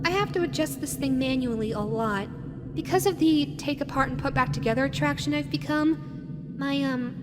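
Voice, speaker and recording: A woman voicing a playful, lonely female animatronic fox in a roleplay audio drama, chatty, coy and teasing as she talks to the listener. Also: high voice. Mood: sheepish, chatty. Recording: loud steady background noise.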